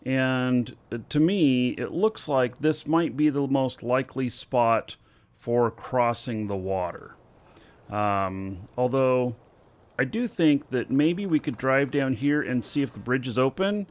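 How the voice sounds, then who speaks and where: A man streaming a video game, talking slowly to himself with many fillers as he works out what to do next. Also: medium pitch. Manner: slow, thinking aloud with many fillers. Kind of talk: casual gameplay commentary.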